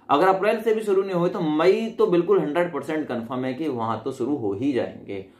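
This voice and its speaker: A man explaining things informally as a video presenter, rephrasing and checking in with his listeners. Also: fairly high pitch. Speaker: exam-update video presenter. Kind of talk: informal explanatory commentary on recruitment cut-offs. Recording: echoey, reverberant room.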